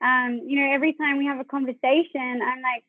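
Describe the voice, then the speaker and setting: A woman's voice: high-pitched, steady tone, fairly quick, no echo. A woman guest on a podcast, chatting candidly and enthusiastically over a remote call, swearing freely. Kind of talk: casual chat. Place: remote call from her home at evening. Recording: dry, phone-like call line.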